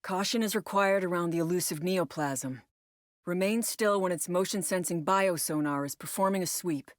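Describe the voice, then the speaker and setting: Actress as female tactical agent, video game briefing, measured, instructive, lower-pitched, close dry recording. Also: no room sound, natural voice. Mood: focused, instructive. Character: composed female tactical operations agent briefing a squad on alien parasites. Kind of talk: scripted video game voice lines.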